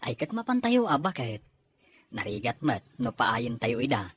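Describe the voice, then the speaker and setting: An actor playing a mild, apologetic working husband in an Ilocano radio drama, with a husky voice and a Filipino accent. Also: male voice, medium pitch, very animated, moderate pace, a bit bright, a little hiss or hum. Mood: earnest, grateful.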